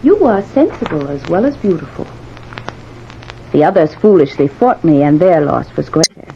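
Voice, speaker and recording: A woman's voice: sits low, very animated, normal speed. A haughty, vengeful phantom bride speaks with theatrical, taunting command. Her voice hangs in long reverb on a muffled, noisy old radio recording. She is possessive and imperious. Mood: cruel taunting. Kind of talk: old-time radio horror drama acting.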